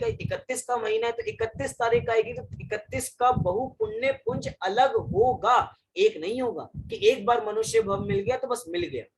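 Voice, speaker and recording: A man's voice: sits high, slight background noise, rough recording. A man giving a religious discourse on verses, asking the audience questions, answering them himself and repeating phrases for emphasis. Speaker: Jain scholar giving a discourse.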